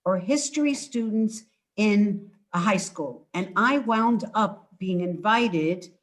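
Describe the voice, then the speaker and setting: An older woman giving an informal book talk over a video call from home, halting and emphatic as she speaks. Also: medium pitch. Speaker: author presenting her book. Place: indoors at home.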